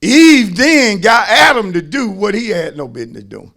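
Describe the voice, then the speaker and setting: An American man preaching a fervent sermon as a pastor, raspy and gravelly, folksy and emphatic, repeating phrases and swinging from hushed asides to shouting. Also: high voice, very animated, normal speed.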